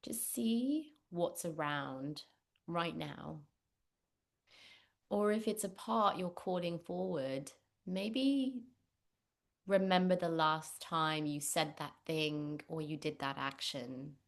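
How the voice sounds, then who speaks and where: A woman leading a guided meditation, speaking gently and unhurriedly with long pauses. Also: deep voice, vocal fry. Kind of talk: guided meditation, spoken instruction.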